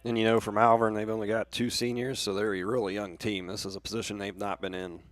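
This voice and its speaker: A man's voice: on the low side, flat delivery, fast. An American man giving conversational color commentary on a baseball game, with plainspoken, coach-like explanations.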